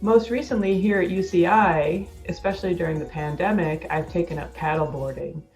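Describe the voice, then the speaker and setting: A woman from Ohio who grew up speaking Arabic, a PhD candidate narrating her profile video in an earnest, measured way. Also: fairly low pitch, steady tone, darkish tone, faint music behind. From Ohio, USA.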